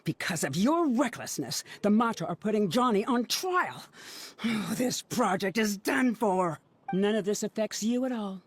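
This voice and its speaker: A woman's voice: mid-range voice, very animated, measured pace, breathy edge. A woman playing a bitter young female student researcher in a video game, cutting and reproachful. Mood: angry, accusing.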